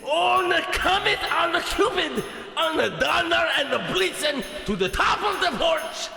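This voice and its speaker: Guy doing a merry old santa calling out from his sleigh, pitched way up, storybook style, music behind. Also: lively intonation, a little slow, creaky, bright, forceful tone, reverberant, big-room sound, full character voice. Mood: urgent, excited. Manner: calling out in exclamations.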